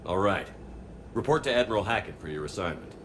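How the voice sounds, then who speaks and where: An actor playing a resolute military starship commander in a video game, with a terse, commanding way of talking. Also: male voice, fairly low pitch, expressive, fast, fairly bright tone, a little hiss or hum. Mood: firm, controlled. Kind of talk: video game cutscene acting. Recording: music behind the dialogue.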